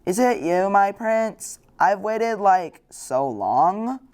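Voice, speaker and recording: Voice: medium pitch, lively intonation, slow, a little mellow, pitched above the performer's own voice. Innocent young princess, lifted voice from a storyteller. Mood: tender wonder. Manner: polite, inquisitive. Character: curious, innocent seventeen-year-old princess.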